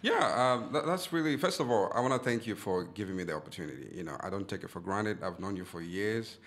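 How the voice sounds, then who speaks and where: A man from Zambia, a guest on a studio talk show, telling a story in a relaxed, gracious way. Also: on the low side, fast talker. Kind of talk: casual interview chat. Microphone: studio mic.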